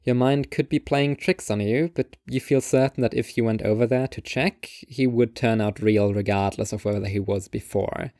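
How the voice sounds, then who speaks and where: A man's voice: mid-range voice, steady tone, normal speed, few pauses, darkish tone, dry recording, studio quality. A man reading scripted literary narration as the narrator of a second-person story.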